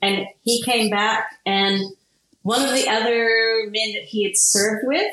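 A woman's voice: on the high side, unhurried. Laughing woman in an echoey room. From Kansas, USA. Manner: animated, laughs often. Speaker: guest, product founder. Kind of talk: interview conversation. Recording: very echoey room, faint noise.

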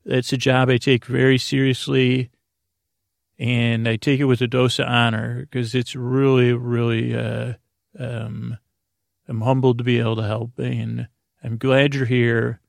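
A man with a creaky voice hosting a bedtime podcast, meandering informally and hesitantly through sidetracks and half-finished words. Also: mid-range voice, little pitch movement, measured pace, dry recording, studio quality. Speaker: podcast host.

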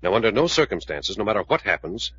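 Brisk, forceful low-voiced man. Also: big pitch swings, breathy edge, exaggerated voice. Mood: persuasive, earnest. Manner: firm, clipped, authoritative. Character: hard-headed, commanding male adventurer, skeptical and resolute. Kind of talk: 1940s radio acting. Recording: muffled old-time radio recording.

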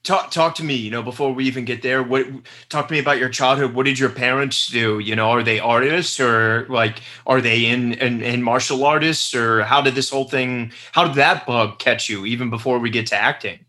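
A man's voice: medium pitch, steady tone, fairly quick, hardly any pauses, sharp tone. A man hosting a casual interview, effusive and gushing, stumbling over repeated words and calling his guest brother and dude. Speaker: podcast host.

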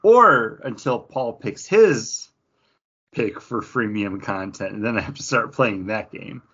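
A man co-hosting a podcast in casual chat, quick and jokey. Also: on the low side, animated, fairly bright tone, breathy edge, dull audio. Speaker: podcast co-host.

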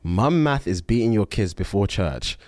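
A man's voice: on the low side, expressive, moderate pace, a little mellow, no room sound, clean studio sound. A man co-hosting a podcast who chats casually, laughs a lot and keeps saying "facts". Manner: laughs often, reads lists out in bursts, interjects "facts". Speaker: podcast co-host. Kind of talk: casual comedic chat.

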